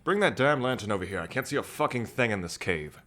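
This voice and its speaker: A man's voice: fairly low pitch, lively intonation, fast, a bit bright. A man playing a brusque, impatient, foul-mouthed sailor and treasure hunter in an audio drama. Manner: curt, commanding. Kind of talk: cinematic audio roleplay acting.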